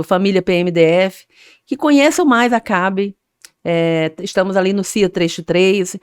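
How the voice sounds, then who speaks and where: Woman, middle-aged, interview guest, determined, smooth and resonant. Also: mid-range voice, no room sound, studio quality. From Maranhão, Brazil. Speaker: guest, association president. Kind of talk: interview answers.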